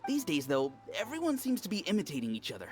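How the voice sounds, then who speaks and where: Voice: mid-range voice, wildly swooping pitch, quick pace, a little mellow, faint music behind. An actor voicing a seasoned, discerning, slightly jaded fan in an anime game, opinionated and musing.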